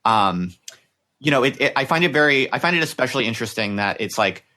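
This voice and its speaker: A man's voice: mid-range voice, expressive, fast talker, bright, forceful tone. A man hosting a casual intellectual interview, speaking tentatively and restarting phrases as he thinks. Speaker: podcast host, interviewer.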